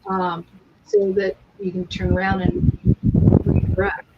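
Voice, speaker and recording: A woman's voice: medium pitch, big pitch swings, a little slow, gravelly. A middle-aged or older woman co-hosting a casual spiritual discussion, recorded with lo-fi sound. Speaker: co-host of a spiritual discussion show. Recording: dull lo-fi sound, background noise.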